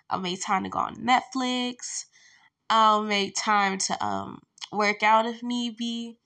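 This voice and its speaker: A young woman hosts her podcast from home, talking loosely and candidly through fillers and laughs. Her voice sits at a middle pitch with a gravelly edge, and she sounds stuffy, congested from allergies while lying down. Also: sharp tone. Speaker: podcast host. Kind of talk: casual solo podcast chat.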